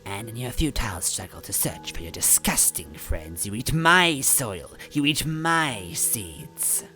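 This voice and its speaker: A woman's voice: very deep voice, sing-song, unhurried, bright, forceful tone, faint music behind, heavily acted. A woman voicing a hideous, gleefully cruel bean witch in a storybook reading, taunting with a theatrical sneer. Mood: hissing menace.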